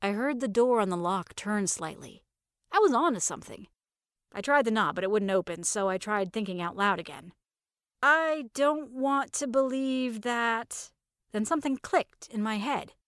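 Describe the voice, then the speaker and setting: A woman narrating a first-person story in an expressive, conversational manner. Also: mid-range voice, fairly quick, dry recording, studio quality.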